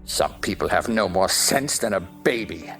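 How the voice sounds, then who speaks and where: A woman's voice: rumbling low, expressive, moderate pace, vocal fry, a bit bright, soft background music, exaggerated voice. A woman playing a pompous, touchy, argumentative talking egg in a stage-play style audio drama, with haughty, exaggerated emphasis. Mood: indignant. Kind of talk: theatrical audio drama acting.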